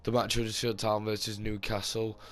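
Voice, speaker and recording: A man hosting his gaming channel, talking casually about his team with a slightly hoarse voice, rambling with lots of fillers and restarts. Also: fairly low pitch, level tone, fairly quick. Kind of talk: casual gameplay commentary.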